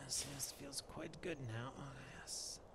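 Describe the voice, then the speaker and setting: A man voicing a mild, courtly Dark Elf shaman in improvised video game roleplay, musing aloud in hesitant, old-fashioned phrases. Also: medium pitch, measured pace, lightly acted. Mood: calm, musing. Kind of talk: in-character improvised gameplay narration. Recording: clearly noisy background.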